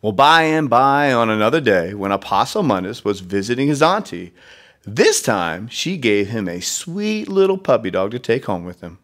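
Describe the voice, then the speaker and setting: A man's voice: mid-range voice, very animated, normal speed, fairly bright tone. A man reading a children's storybook expressively, with conversational asides to young listeners. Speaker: read-aloud storyteller.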